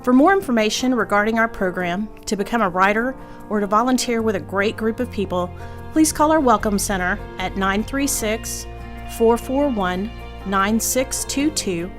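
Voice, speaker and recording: A woman's voice: a little high, normal speed. A woman delivering scripted promotional narration as an announcer for a community spot. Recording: music bed behind voice. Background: music.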